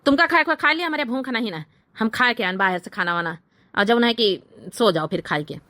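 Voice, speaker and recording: A woman's voice: on the high side, expressive, a little fast, pushed and bright, dry recording. A woman voicing a sneaky, short-tempered village husband in a comedy cartoon, with a brusque, rustic Awadhi accent. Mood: irritated.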